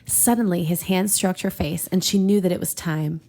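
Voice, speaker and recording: A woman with a noticeable creak in her voice reads a story aloud as a podcast host. She plays it for comedy, giggly and quick to step out of the text for banter. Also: on the low side, fairly even intonation, mellow, rough recording. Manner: giggly, breaks off to joke. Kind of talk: comedic read-aloud of fan fiction with banter.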